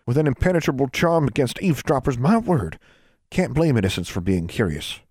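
A man voicing a stern, folksy great-grandmother with an Ozark accent, scolding in a high drawling voice. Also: sing-song, fairly quick, darkish tone, slightly rough, no echo, studio quality. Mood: curious. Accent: Ozark rural American. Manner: scolding, drawling, high put-on old-woman voice. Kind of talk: audiobook character voice.

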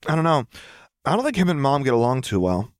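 A man's voice: medium pitch, sing-song, fast talker, no echo, clean studio sound, voice pushed up, close to an everyday voice. A man voicing a casual, cheeky teenage boy in an audiobook, eager and given to grumbling. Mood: eager, nervous.

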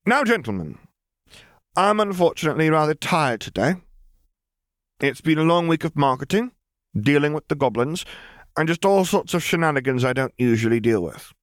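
Man voicing a glib, high-pitched young witch boss. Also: expressive, moderate pace, pauses often, no room sound, studio quality, lightly acted. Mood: weary, mock-polite. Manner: glib, mock-polite, theatrical taunting. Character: brash young witch crime boss, wry and menacing. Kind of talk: acted dialogue in fan fiction audiobook.

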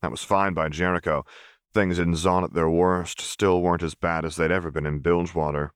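Deep-voiced male story narrator. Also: level tone, brisk, clean tone, dry recording, clean studio sound. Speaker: audiobook reader. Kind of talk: scripted narration.

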